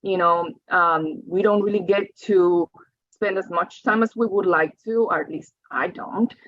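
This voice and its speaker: A woman's voice: lowish voice, measured pace, dry recording. A woman, giving a reflective talk over slides and often checking in with "right?" and "you know". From Colombia. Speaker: guest speaker. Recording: dry, slightly dull audio.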